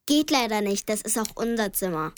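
A woman's voice: medium pitch, expressive, brisk, clear, resonant tone, lightly acted. A woman playing a snide, teasing young girl with a dry, needling manner in a children's radio play. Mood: mocking.

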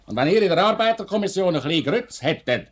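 Impatient factory boss, fairly high voice, Swiss German, brusque, dismissive, unbending, 1950s radio drama. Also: male voice, lively intonation, fast talker. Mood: irritated, dismissive. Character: curt, unbending, impatient male factory superior.

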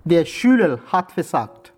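An actor playing a solemn martial arts master who speaks in clipped, broken proverbs in a German radio crime comedy. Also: male voice, a little high, sing-song, a little slow, a little mellow, slightly rough, heavily acted. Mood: stern, solemn. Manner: sententious, clipped broken grammar.

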